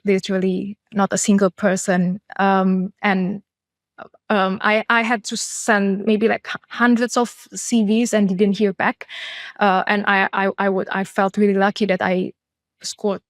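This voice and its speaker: A woman's voice: medium pitch, no echo. A woman from Indonesia in her thirties, a product leader answering interview questions candidly and reflectively, with frequent fillers and restarts. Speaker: guest, chief product officer.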